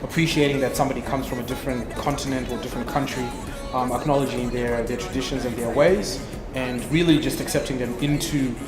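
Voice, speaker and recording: A man's voice: mid-range voice, fairly even intonation, quick pace, reverberant, big-room sound, over music. A musician, a man, giving thoughtful, somewhat hesitant interview answers. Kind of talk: talking-head interview.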